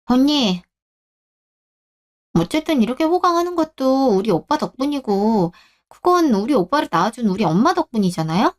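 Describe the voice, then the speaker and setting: A woman's voice: high-pitched, very animated, moderate pace. A woman voicing a selfish young bride-to-be in a radio drama, petulant and entitled, with a whining, pleading manner. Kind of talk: Korean radio-drama story acting.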